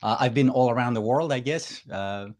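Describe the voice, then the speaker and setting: A man's voice: mid-range voice, moderate pace. Hesitant man from Greece, full of fillers. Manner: hesitant, with frequent "uh" fillers and soft laughs. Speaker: guest engineer. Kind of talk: casual interview chat.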